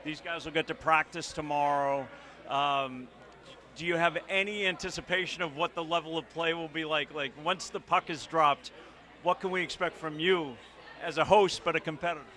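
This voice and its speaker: Bright, upbeat male reporter. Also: fairly high pitch, fairly even intonation, moderate pace. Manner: upbeat, chatty questioning. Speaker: reporter interviewing players. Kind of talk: on-camera interview coverage. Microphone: handheld microphone.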